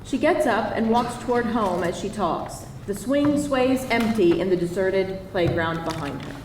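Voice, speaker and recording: A woman's voice: medium pitch, animated, a little slow, a little mellow. A woman narrator reading screenplay stage directions aloud at a live table read. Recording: echoey live hall, distant, faint noise.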